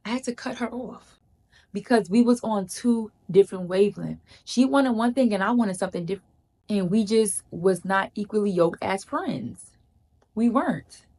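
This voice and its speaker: A woman's voice: mid-range voice, moderate pace, darkish tone. A woman gives casual advice to camera. She talks in an emphatic, chatty way, repeats lines for emphasis and calls viewers "girl.". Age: 30s. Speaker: vlogger.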